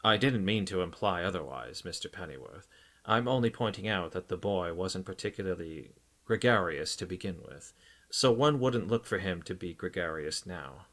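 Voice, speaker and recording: A man voicing a stout psychiatrist in an audiobook, grave and solicitous, with an earnest, deliberate, clinical manner. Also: sits low, expressive, a bit bright. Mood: clinical, sympathetic. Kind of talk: audiobook character acting.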